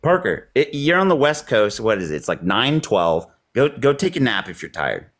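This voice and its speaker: A man arguing politics in a heated call, combative and rambling with many fillers, his voice a little hoarse. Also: medium pitch, sing-song, moderate pace. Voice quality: slightly hoarse. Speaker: debate caller.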